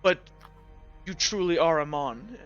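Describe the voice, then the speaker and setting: A man's voice: on the high side, expressive, a little slow, a bit bright, highs cut off, pitched below the performer's own voice. Guy doing a strict lady judge for a tabletop game, solemn and bossy, music in the back. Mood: grave. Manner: solemn, commanding. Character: stern female judge passing a death sentence.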